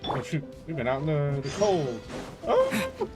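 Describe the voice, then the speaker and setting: A man's voice: a little high, huge pitch swings, unhurried. A young man giving casual, informal playthrough commentary as a game streamer, reacting aloud. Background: faint game music.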